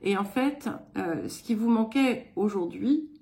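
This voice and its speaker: An English-born woman with a faint English accent reads oracle cards aloud for her audience. She talks slowly and hesitantly, pausing often with little fillers, in a voice of ordinary middle pitch. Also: animated, creaky, mellow. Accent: slight English accent in French. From England. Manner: unhurried, hesitant, many pauses and fillers. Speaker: card reader giving a weekly guidance. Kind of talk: slow spoken tarot-style reading.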